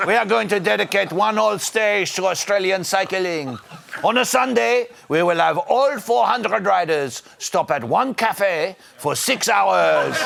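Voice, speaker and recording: A smug, leering Frenchman with a put-on accent, played by a man, drawling innuendo slowly. His voice is high and gravelly. The recording has a noisy hiss under it. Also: heavily acted. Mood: mocking. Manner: innuendo-laden, theatrical, drawled. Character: lecherous pompous French man, cycling pundit. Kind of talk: comedy sketch in character.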